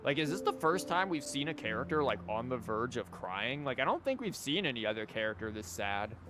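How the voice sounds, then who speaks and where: A man's voice: mid-range voice, big pitch swings, fairly quick, noisy background. A man giving casual playthrough commentary as a game streamer, with wry, joking, rambling asides. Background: game music.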